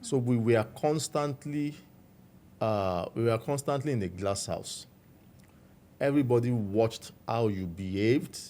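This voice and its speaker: A man with a Nigerian accent, a guest recounting his life story in a measured, reflective way. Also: mid-range voice. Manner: measured, warm storytelling with frequent "you know".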